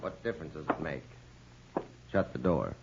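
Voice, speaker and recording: An actor playing a jealous, short-tempered, menacing betting boss in an old-time radio crime drama, curt and bullying. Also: male voice, extremely low pitch, expressive, moderate pace, vocal fry, noisy background, heavily acted. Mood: cold threat. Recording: muffled old radio recording.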